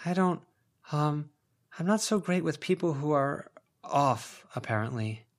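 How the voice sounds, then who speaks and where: Male reader as uneasy teen girl, guarded and hushed, lightly raised pitch, audiobook character. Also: expressive, unhurried. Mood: wary, urgent. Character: wary, uneasy teenage girl.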